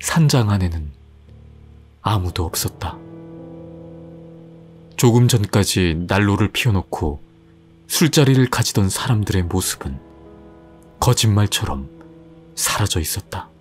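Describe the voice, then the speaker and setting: A man narrating a horror story in a measured, suspenseful style. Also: fairly low pitch, animated. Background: faint music.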